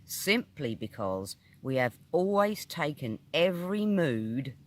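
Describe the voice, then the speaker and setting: A man with a British accent reading a scripted instructive text in a measured, deliberate manner, pausing between short phrases. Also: fairly high pitch, sing-song. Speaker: audiobook reader. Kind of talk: scripted narration of a metaphysical article.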